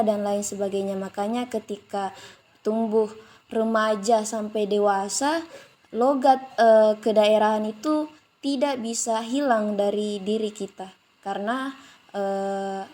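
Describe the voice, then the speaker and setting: A woman's voice: mid-range voice, steady tone, measured pace, warm tone. A young woman giving a prepared explanatory presentation as a student, in a didactic way addressed to classmates. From Makassar, South Sulawesi, Indonesia. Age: young adult.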